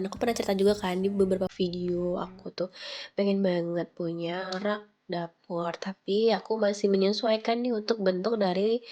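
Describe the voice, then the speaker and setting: Casting: young woman, nasal and bunged-up with flu, mid-pitched, chatty home vlog voice-over. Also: darkish tone. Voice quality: congested, nasal from a cold. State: sick with flu and sore throat. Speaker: home vlogger.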